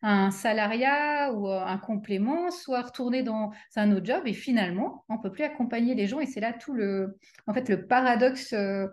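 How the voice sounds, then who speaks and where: A woman's voice: a little high, normal speed. A middle-aged woman hosting a casual interview, speaking with many fillers and restarts. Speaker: interview host and coach.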